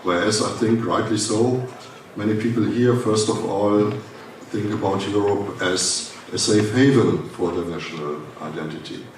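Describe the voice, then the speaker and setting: A German-accented man delivers a conference welcome in a low voice, hesitating and restarting with frequent fillers. He stands away from the mic in a big echoing hall, with a faint hiss underneath. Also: recorded from a distance. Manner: halting, many "uh" fillers and restarts. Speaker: foundation director giving a welcome speech. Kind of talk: formal welcome speech at a conference.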